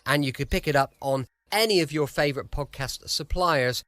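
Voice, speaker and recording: A man's voice: fairly high pitch, fairly bright tone, dry recording. A man with an English accent chattily introducing a podcast as its host. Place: dry close-recorded room at home.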